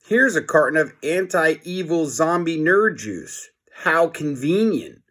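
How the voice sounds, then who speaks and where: A man voicing an excitable, quick-witted schoolboy in a children's storybook, in an exclamatory manner. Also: fairly high pitch, voice pushed up, full character voice. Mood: excited.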